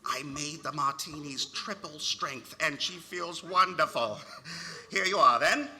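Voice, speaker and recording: An actor playing a fussy but patient husband in a comic radio play, coaxing and repeating himself. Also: male voice, on the high side, unhurried, sharp tone, a little hiss or hum, exaggerated voice. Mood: flustered, coaxing. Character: fussy, patient husband minding his tipsy wife.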